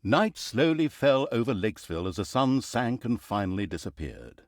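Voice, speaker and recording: A man's voice: mid-range voice, sing-song, normal speed, no room sound, clean studio sound, lightly acted. A man playing a sardonic, theatrical storyteller who wryly narrates a fantasy video game. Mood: measured storytelling. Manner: theatrical, wry, sarcastic. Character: sardonic, theatrical male storyteller mocking heroes and scolding the player.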